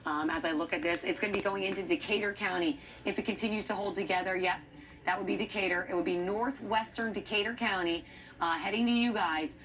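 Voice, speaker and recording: A woman's voice: mid-range voice, fairly even intonation, quick pace, a bit bright, a little hiss or hum, poor audio. American woman forecasting live severe weather. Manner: urgent, thinking aloud while reading radar.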